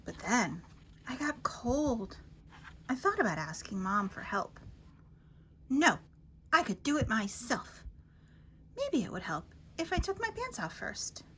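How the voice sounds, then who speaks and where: A woman storyteller with a high, very lively voice reads a children's bedtime story aloud. She is theatrical and expressive, leaning on dramatic pauses and emphasis. There is some faint noise behind her. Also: poor audio. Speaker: library storyteller reading picture books aloud.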